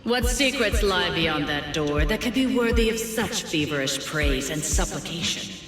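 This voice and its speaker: A woman playing a dignified, resolute mentor heard as a memory in a game cutscene, solemn and measured, with reverb and music. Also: fairly low pitch, animated, a bit bright. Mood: cool, determined. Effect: heavy reverb for a memory. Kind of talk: dramatic game cutscene acting. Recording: heavy echoing reverb, music behind.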